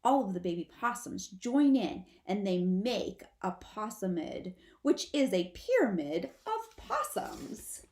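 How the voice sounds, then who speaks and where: Animated woman reading a children's story. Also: mid-range voice, takes it slowly, few pauses. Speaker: storybook reader. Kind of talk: expressive children's read-aloud narration. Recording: roomy, echoing sound.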